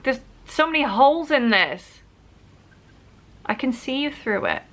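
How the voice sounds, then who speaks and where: A woman's voice: high voice, very animated, a little slow, fairly bright tone. A woman vlogger, sick and wheezy with a bad cough, chatting casually to camera and poking fun at herself. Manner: chatty, self-mocking, many "like" fillers.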